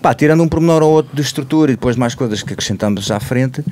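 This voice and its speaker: Casting: male musician, European Portuguese accent, mid-range, halting casual talker, some noise behind him. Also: mid-range voice, a little mellow. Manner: halting, repeating phrases. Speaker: musician, band member being interviewed. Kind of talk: casual group conversation.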